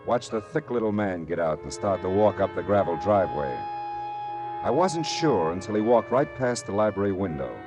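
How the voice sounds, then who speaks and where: A world-weary private eye from a radio detective drama, dry and laconic. His voice sits on the low side but moves with lively swings in pitch. Music plays behind him. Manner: wry, laconic. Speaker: narrator and lead detective. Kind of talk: hardboiled detective radio drama narration and dialogue.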